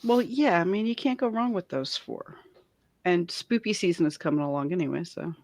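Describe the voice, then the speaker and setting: A woman's voice: fairly low pitch, lively intonation, moderate pace, darkish tone, no room sound. A woman hosting a casual geek culture panel chat, informal and given to repeating her phrases for emphasis.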